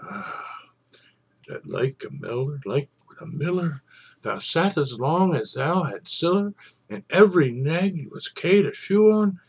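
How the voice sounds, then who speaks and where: A man with a husky voice, speaking as a storyteller and savouring his phrases with theatrical pauses. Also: a little high, animated, darkish tone. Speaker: storyteller and poetry reader. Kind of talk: informal introduction, then dramatic poetry recitation.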